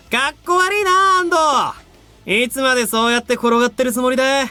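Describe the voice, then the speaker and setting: A man's voice: extremely high pitch, animated, rapid-fire, bright, forceful tone, clear, resonant tone, exaggerated voice. A man playing a cocky, gleeful young rival villain in an anime visual novel, taunting in a boisterous, theatrical way. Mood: gleeful mocking.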